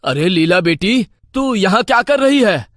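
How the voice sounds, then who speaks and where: Timid cartoon villager male actor: high-pitched, fretful and pleading, recorded dry. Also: animated, a little slow, a bit bright, no echo. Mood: worried. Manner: fretful, pleading, stammering with fright. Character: timid, anxious village man, pleading father. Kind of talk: Hindi cartoon horror story acting.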